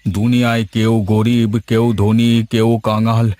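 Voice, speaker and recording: A man voicing a weary, resigned elderly villager in a dramatised moral story, with slow, sighing delivery over music. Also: medium pitch, completely flat delivery, darkish tone, no room sound, natural voice.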